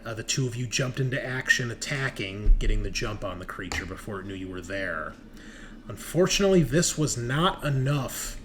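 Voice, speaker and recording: A man's voice: lowish voice, fairly bright tone. A man acting as game master, recapping a tabletop horror game in a dramatic, emphatic storytelling manner. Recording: noticeable background noise.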